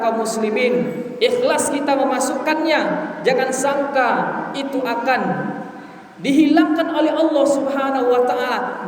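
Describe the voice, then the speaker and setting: A man delivering an impassioned, emphatic Friday sermon, exhorting listeners with rhetorical questions. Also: very high voice. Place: large reverberant mosque hall. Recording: very echoey hall, distant mic, hiss.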